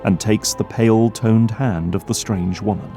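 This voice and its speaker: Guy narrating an audio drama, soft dark lowish voice, scripted dramatic read, light music under it. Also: fairly even intonation, moderate pace.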